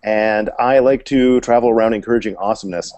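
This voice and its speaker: A man in his thirties from western Canada chats on a webcam call from his living room, rambling and stopping to rephrase himself with a joke. His voice sits fairly low and sounds dry, with almost no room around it. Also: fairly even intonation, quick pace, a little mellow, no echo. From British Columbia, Canada. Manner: rambling, self-correcting, jokey. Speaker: panel guest, photographer. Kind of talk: casual video call chat. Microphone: webcam video call.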